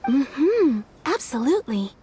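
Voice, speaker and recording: A woman's voice: high-pitched, sing-song, slow pace, mellow, a little hiss or hum, heavily acted. A woman playing a courteous, earnest kimono shopkeeper in a video game, explaining things politely and deferentially. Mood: pleased. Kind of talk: video game character acting.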